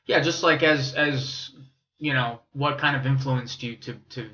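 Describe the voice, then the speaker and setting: Bright, forceful-voiced man, casual podcast host. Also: mid-range voice, unhurried, muffled recording. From born in Romania, raised in the US from age eight. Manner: informal, slangy, curious. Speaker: podcast host interviewing a guest. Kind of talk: casual interview chat.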